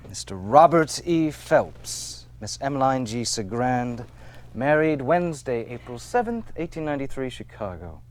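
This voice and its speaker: An actor playing a smooth, manipulative Victorian gentleman swindler and killer, coaxing and glib in a theatrical stage delivery. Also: mid-range voice, big pitch swings, a little slow. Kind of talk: stage play acting, period dialogue. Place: theater stage before an audience.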